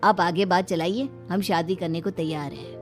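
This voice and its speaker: A woman's voice: lowish voice, fairly even intonation, normal speed, faint music behind, lightly acted. A woman voicing a plain-spoken village husband in a cartoon story. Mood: agreeable. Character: village husband, agreeable to elders, nagging his wife.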